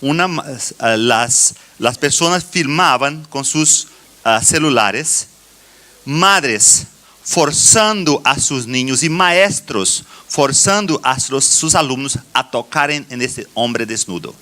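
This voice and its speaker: A middle-aged man with a Brazilian Portuguese accent giving a church conference address in halting phrases with deliberate pauses. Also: mid-range voice, animated, bright, forceful tone. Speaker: conference speaker, children's ministry teacher.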